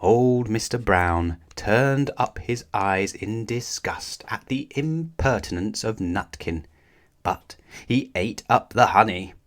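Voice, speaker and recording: A man reading a children's story aloud in a measured, expressive storytelling manner. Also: lowish voice. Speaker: storybook reader.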